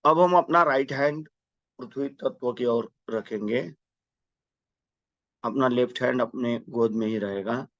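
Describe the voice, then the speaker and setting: Slow talking guy guiding a meditation, devotional and measured, dry close sound but kinda dull. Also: medium pitch, dry recording. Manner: measured, devotional, instructing step by step. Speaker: guided meditation leader. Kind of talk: slow guided meditation with prayer.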